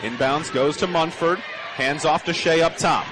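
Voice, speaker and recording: A man's voice: fairly high pitch, normal speed. A young man doing live radio basketball play-by-play in clipped, punchy phrases with a raised voice. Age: young adult. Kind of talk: live radio sports commentary. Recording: noisy gym ambience. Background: bouncing basketballs, gym noise.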